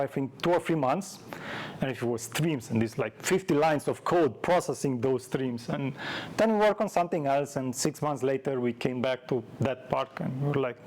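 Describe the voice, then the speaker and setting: A man's voice: medium pitch, a little mellow, slight background noise. A man giving an informal technical meetup presentation in a conversational, self-deprecating way, like a developer sharing experience. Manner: conversational, self-deprecating, illustrates points with anecdotes. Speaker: conference speaker, software developer. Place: live meetup talk before an audience.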